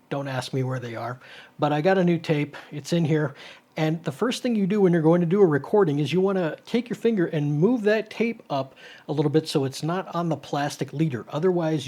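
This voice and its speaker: A man's voice: fairly high pitch, a little mellow. A middle-aged man hosting a casual tech hobby vlog, explaining things informally step by step and rambling a little. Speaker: host of a retro tech video series. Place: small home studio, indoors.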